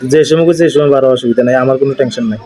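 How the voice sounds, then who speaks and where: Fast-talking man. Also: mid-range voice, mellow. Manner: teasing, repeats phrases. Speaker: vlogger on a family video call. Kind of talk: casual family chat. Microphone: phone video call.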